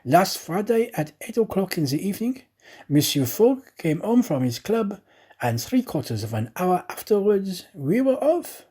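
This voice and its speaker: Man playing a chatty, excitable, high-pitched manservant. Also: measured pace, slightly rough, exaggerated voice. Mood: chatty, confiding. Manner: chatty, emphatic, indignant. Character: talkative, excitable, naive French manservant.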